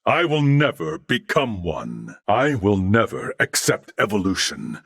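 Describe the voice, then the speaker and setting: An actor voicing a calm, courteous cybernetic alien in a video game, with a deep, gravelly voice and formal, measured, precise diction. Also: male voice, no room sound, clean studio sound, heavily acted. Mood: solemn, composed. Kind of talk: dramatic video game voice acting.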